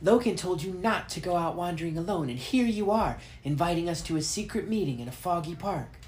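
A man's voice: high voice, lively intonation, fairly quick, a little mellow, echoey room, faint music behind. A man voicing a haughty, disapproving young girl with an indignant, emphatic manner, as in a storybook reading.

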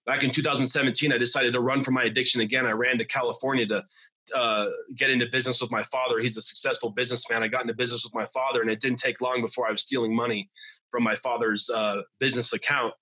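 A man in his thirties from Arizona, telling his recovery testimony as a podcast guest. He talks haltingly and often repeats and restarts his words. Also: mid-range voice, little pitch movement, bright, forceful tone, slightly rough, poor audio. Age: 30s.

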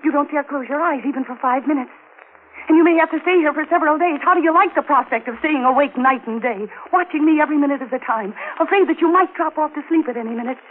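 A woman's voice: high voice, fast talker, narrow, tinny audio, natural voice. An actress playing an intense, determined wife and mother fighting for her family in an old-time radio thriller. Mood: defiant. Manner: intense, pointed, challenging. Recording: thin, hissy old radio recording.

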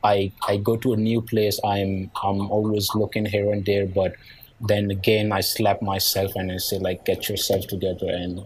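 A man's voice: on the low side, little pitch movement, normal speed, husky, low-quality recording. A young man with a light Nigerian accent, chatting as a guest and rambling with lots of "like" and "yeah". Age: young adult. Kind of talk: casual conversation.

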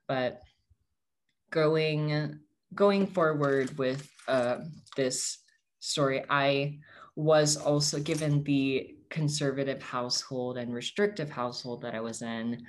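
A man's voice: medium pitch, fairly even intonation, slow, a little hiss or hum. Young guy, tagalog is his first language, earnest interview answers, mid-range voice, keeps restarting his sentences. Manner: earnest and reflective, with frequent self-corrections. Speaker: interviewee, activist.

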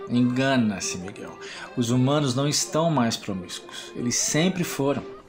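A mocking, world-weary demon acted by a man holds forth in an audio drama. The voice sits at a medium pitch, full of sardonic disdain for humans. Music plays softly underneath. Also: animated, measured pace, close to an everyday voice. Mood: cynical. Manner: sardonic, speechifying, chuckling.

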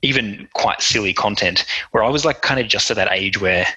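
A man speaks as a guest in a casual podcast conversation, his voice slightly low and husky. He sounds bright and forward in tone. He reflects on himself hesitantly, leaning on fillers as he thinks. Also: a little fast, muffled recording.

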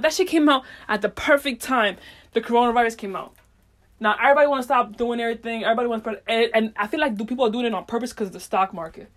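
A woman hosts a solo podcast in a loose, casual way. She speaks in slang and swears freely. Her talk is unfiltered and wanders as she thinks out loud. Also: fairly high pitch. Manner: meandering, thinking aloud. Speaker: podcast host. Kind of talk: casual rambling monologue.

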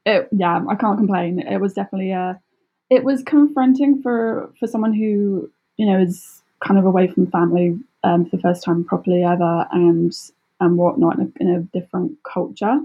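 A British woman speaking casually as an interview guest, chatty and expressive, often acting out little quotes. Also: mid-range voice, normal speed, breathy edge, lo-fi sound. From United Kingdom. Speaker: podcast guest, travel blogger.

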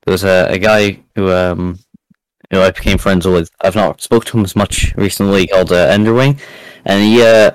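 A man's voice: low-pitched, steady tone, moderate pace, clear, resonant tone, no room sound, poor audio. A young man chatting casually as a podcast guest, in a self-deprecating way. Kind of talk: casual chat.

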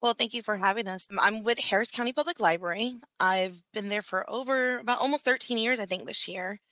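A woman's voice: mid-range voice, a little fast, pushed and bright, no room sound. A woman who works at a public library, chatting as an interview guest with frequent fillers. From Houston area, Texas. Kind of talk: casual interview answers.

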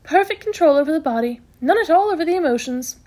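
A woman voicing a brisk, bossy, self-assured hospital matron in a storybook, scolding cheerfully in emphatic exclamations. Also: high voice, animated, a little mellow, pitched above the performer's own voice. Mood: amused.

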